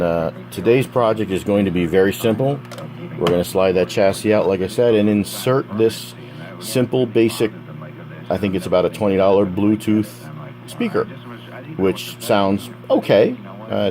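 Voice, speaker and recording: An American man giving casual, unscripted narration of a hobby project, rambling conversationally with asides. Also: low voice. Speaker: hobbyist presenting a DIY project. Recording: background chatter and hum from playing radio. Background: old AM radio playing with a loud hum.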